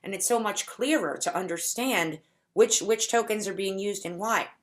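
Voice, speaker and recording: A woman giving a conference talk in a deliberate, explanatory way, slowing down to stretch key words. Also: medium pitch. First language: English. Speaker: conference speaker. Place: home setup for a virtual conference.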